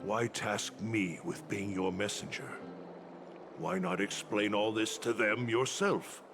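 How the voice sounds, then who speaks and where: A proud, anguished warrior of a fantasy game. His voice is gravelly and creaky, and he speaks slowly, with dramatic weight. Loud music runs underneath. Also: on the low side. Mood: bewildered. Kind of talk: scripted game cutscene drama.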